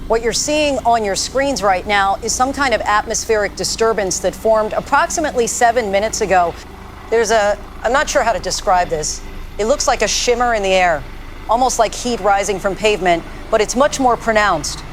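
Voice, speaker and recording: A woman playing a shaken TV news correspondent in an audio drama, reporting live in a halting way. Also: medium pitch, slight background noise, natural voice, poor audio. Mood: uneasy. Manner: halting, stammering, disbelieving. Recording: faint vehicle and outdoor ambience.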